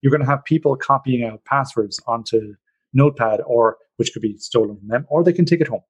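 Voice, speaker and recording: A man's voice: mid-range voice, a little fast, husky, poor audio. A man with an Irish accent hosting a podcast in a rambling, joking, chatty way. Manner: rambling, chatty, jokey storyteller. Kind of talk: casual podcast chat.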